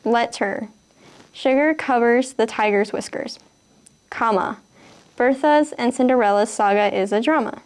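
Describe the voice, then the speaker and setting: A woman's voice: fairly high pitch, a little slow, a little mellow. A 19-year-old woman with a Wisconsin accent, reading a word list and then answering interview questions hesitantly, with many fillers. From Kenosha, Wisconsin.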